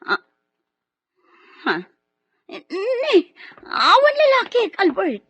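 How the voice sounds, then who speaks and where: High, sharp, hot-tempered wife. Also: female voice, wildly swooping pitch, extremely slow, no room sound, heavily acted. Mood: alarmed, pleading. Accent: Filipino Ilocano. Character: bossy, scheming, hot-tempered unfaithful wife, lady of the house. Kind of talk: Ilocano radio drama acting.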